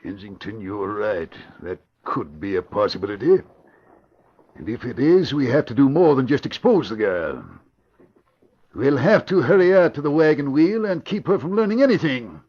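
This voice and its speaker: An actor playing a scheming, anxious lawyer to a crime boss, formal and emphatic, in an old radio crime drama. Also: male voice, mid-range voice, sing-song, moderate pace, slightly rough. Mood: alarmed, scheming. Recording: muffled old radio recording.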